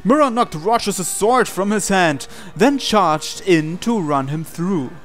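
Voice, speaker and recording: A man's voice: high voice, lively intonation, slow. A man reading a visual novel aloud as a let's player, with theatrical storytelling and casual asides. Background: game music.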